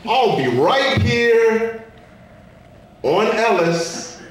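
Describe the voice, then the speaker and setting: In a very echoey room, a man performs a weary, sassy Statue of Liberty as live spoken word. The folksy figure preaches in rhyme, with slow, drawn-out words and widely swooping pitch. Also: lively intonation, exaggerated voice. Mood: sassy, preachy. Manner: dramatic, sing-song rhymed delivery with drawn-out words. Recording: echoey room, distant mic, faint noise.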